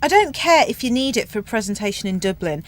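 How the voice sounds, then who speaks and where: A woman's voice: fairly high pitch, very animated, fast. A woman playing a firm, exasperated airline check-in clerk in a radio comedy, speaking emphatically. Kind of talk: spoof docu-soap radio comedy acting.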